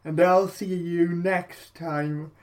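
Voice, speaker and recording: A male podcast host with a soft-edged, mellow voice. He speaks at a slow, unhurried pace in a conversational interviewing style. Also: high-pitched, level tone.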